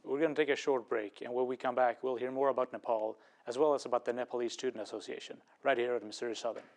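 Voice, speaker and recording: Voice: mid-range voice, steady tone, fast talker, darkish tone. A host of a college TV talk show, giving short prompts and backchannels during an interview. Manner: brief backchannels, friendly prompts. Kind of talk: TV interview hosting. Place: college TV studio.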